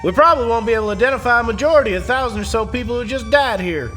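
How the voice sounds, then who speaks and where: A man's voice: very high voice, racing, sharp tone, slight background noise. A man playing a matter-of-fact emergency official reporting from the scene in a comedic audio drama.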